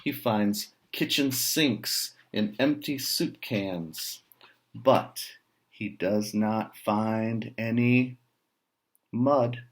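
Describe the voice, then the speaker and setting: An American man reads a picture book to children in a fairly low voice. He takes his time, very slow and deliberate, and steps aside to put chatty questions to his young listeners. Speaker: writer reading a picture book aloud to children. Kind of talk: read-aloud storytelling with chatty asides to children.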